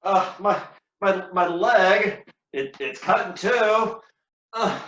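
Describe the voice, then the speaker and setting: A man playing a wounded, panicked soldier in a stage tragedy, pleading and stammering in halting bursts. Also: high voice, expressive, slight background noise, pitched above the performer's own voice. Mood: pained stammering. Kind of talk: hammy Shakespeare play acting. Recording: muffled, roomy echo.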